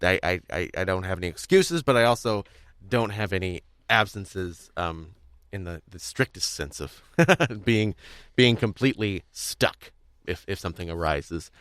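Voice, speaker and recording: A young man casually hosting a podcast, talking in a rambling, halting way with frequent restarts. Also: deep voice, a bit bright, clean tone, no echo, clean studio sound. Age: young adult. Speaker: podcast host.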